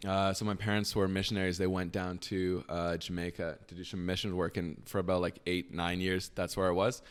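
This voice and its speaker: A young man chatting casually as a podcast guest, rambling with lots of fillers and trailing off quietly. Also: sits low, flat delivery, a little fast, clear, resonant tone. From Canada. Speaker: podcast guest, business founder. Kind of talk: casual conversation.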